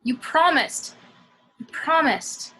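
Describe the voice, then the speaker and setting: A woman playing an earnest, anguished warrior in a table read, with a husky voice. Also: high-pitched, lively intonation, takes it slowly, bright, forceful tone, exaggerated voice. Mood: pleading. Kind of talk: amateur table read of a film script.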